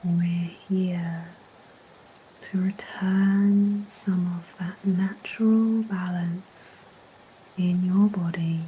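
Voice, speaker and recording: Woman doing sleep hypnosis talk down, super dark soft breathy whisper, real slow, rain in the background. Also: on the low side, a little hiss or hum, poor audio. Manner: gentle, soothing, unhurried with long pauses. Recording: steady rain sounds behind voice. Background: rain ambience.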